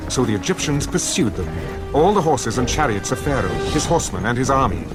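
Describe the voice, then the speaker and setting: A man giving solemn, measured scripted narration for a dramatized audio Bible. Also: medium pitch. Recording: loud dramatic music bed.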